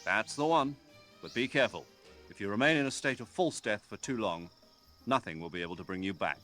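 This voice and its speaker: An actor playing a commanding middle-aged military officer giving a formal, instructive radio briefing in a video game. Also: male voice, fairly low pitch, animated, pushed and bright, soft background music. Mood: stern, instructive. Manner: measured, authoritative, instructive. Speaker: mission commander.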